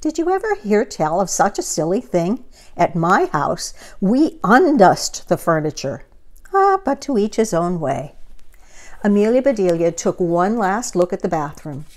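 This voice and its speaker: A woman in her sixties reading a picture book aloud to children in a deliberate manner, with asides to the listener. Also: mid-range voice, big pitch swings. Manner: deliberate, asks the listener questions. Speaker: children's storyteller. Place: living room, indoors.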